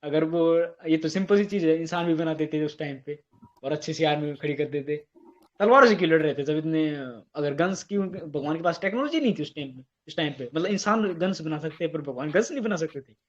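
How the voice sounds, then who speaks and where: On a muffled, lo-fi call, a man with an Indian accent argues casually. His voice sits a little high. He challenges the other side and repeats his questions and objections. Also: quick pace. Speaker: caller, atheist debater. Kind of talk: argumentative casual debate. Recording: dull lo-fi phone call audio.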